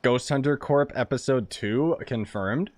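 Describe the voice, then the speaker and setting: A man's voice: mid-range voice, a little slow, background noise. A man giving casual, joking commentary while playing a video game, full of sarcastic asides. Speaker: gaming streamer. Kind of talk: casual let's-play commentary. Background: game audio.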